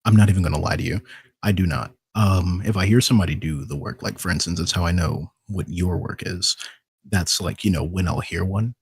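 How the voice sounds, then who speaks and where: A man's voice: sits low, fairly quick, creaky, husky. A man chatting casually and candidly as a voice actor guest on a podcast. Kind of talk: casual chat.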